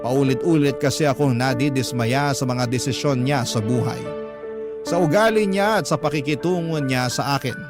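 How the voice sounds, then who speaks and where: A man voices a disheartened Filipino partner telling his own story on a radio drama. He is mid-pitched, confiding and resigned, with music playing clearly behind him. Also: normal speed, natural voice. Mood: disappointed, resigned. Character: disheartened man recounting his troubled relationship. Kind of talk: first-person dramatic narration for radio.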